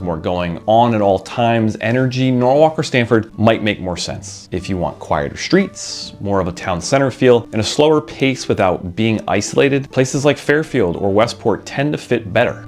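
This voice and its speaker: Low-voiced candid man from Connecticut. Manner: candid, conversational, lightly wry. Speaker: local resident vlogger. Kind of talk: scripted talk to camera. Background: soft background music.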